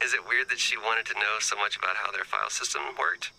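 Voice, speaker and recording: A man's voice: on the low side, fairly even intonation, fast, noisy background, close to an everyday voice. A man voicing a talkative, self-deprecating, goofy scientist in a video game, rambling comically. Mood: sheepish, giddy. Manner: rambling, comic, swings from whispered asides to exclamations. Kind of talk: scripted game character dialogue.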